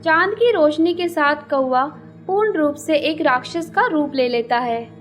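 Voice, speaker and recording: A woman's voice: sits high, measured pace, faint music behind. A woman narrating a scripted children's moral story as the storyteller.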